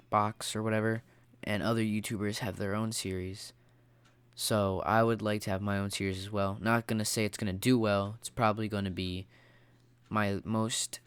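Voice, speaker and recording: A man's voice: on the low side, darkish tone, smooth, dry recording. A man with a stuffy, congested nose from being sick, ranting casually and with lots of fillers as a YouTuber. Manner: rambling, opinionated, many fillers.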